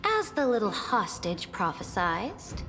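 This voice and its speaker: A woman's voice: medium pitch, wildly swooping pitch, unhurried. A woman playing an imperious, conquering empress in a video game, haughty and commanding in a grand, formal, declamatory way. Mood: regal, composed. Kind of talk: dramatic video game voice acting. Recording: long hall reverb, music behind.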